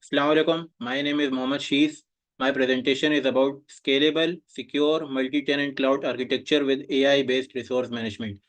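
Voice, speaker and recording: A man's voice: medium pitch, level tone, a little fast, fairly bright tone. A young man giving an oral exam presentation over a video call, speaking hesitantly with many filler sounds. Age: young adult. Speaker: student presenter.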